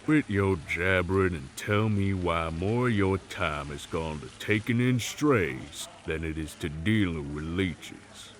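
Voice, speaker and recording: An actor playing a gruff, hard-nosed swamp man with a temper, curt, grumbling and folksy, in an audio roleplay. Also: low-pitched, expressive, a little slow, few pauses, a little hiss or hum. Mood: gruff, impatient. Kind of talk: audio roleplay acting, dramatic dialogue.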